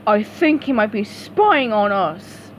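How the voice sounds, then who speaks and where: A woman voicing a slow, dim, good-natured male starfish in a storybook reading. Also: medium pitch, expressive, a little hiss or hum, rough recording. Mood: dopey.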